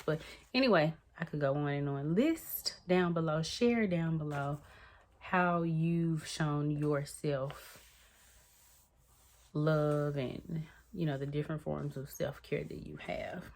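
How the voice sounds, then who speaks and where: A woman, chatting casually to her viewers and calling them y'all. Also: slow. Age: 30s. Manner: chatty, addresses viewers as y'all, lively swings in pitch. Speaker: vlogger. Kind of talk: casual chat to the camera.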